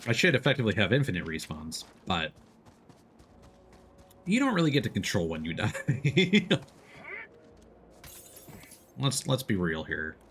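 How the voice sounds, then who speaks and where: A man streams a video game with casual, playful commentary. His pitch moves around in a lively way, and he drops in swearing and silly sound words. Faint game noise sits behind his voice. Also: a little slow, pauses often. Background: video game sound effects.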